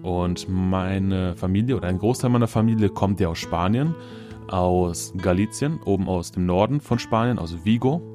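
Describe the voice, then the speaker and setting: A man in his thirties from Germany hosts his own podcast from his office, speaking in a low, relaxed register. He talks loosely and cheerfully, leaning on fillers as he goes. Gentle guitar music sits faintly in the background. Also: normal speed. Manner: chatty, loose and upbeat, many fillers. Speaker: podcast host. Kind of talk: casual solo podcast chat.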